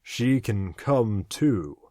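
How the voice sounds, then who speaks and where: A man voicing a cold, deep-voiced man who speaks slowly in a flat, mechanical tone. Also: no echo, studio quality.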